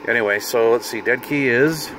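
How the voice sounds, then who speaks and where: Middle-aged man, noisy vehicle interior, medium-pitched voice, casual demonstration, informal, talking himself through the job. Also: moderate pace. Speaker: hobbyist video host demonstrating radio gear. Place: inside a parked vehicle. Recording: noticeable car and fan noise.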